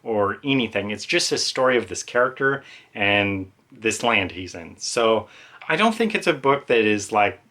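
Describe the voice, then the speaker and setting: Bright-voiced man giving a casual book review. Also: fairly low pitch, animated, normal speed. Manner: informal and rambling, self-aware.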